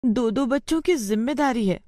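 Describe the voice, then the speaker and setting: A woman's voice: on the high side, lively intonation, moderate pace, dark tone, dry recording, clean studio sound, pitched above the performer's own voice, close to an everyday voice. A woman voicing a poor, troubled village mother in a moral story, pleading and sorrowful. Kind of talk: acted dialogue in a Hindi moral story.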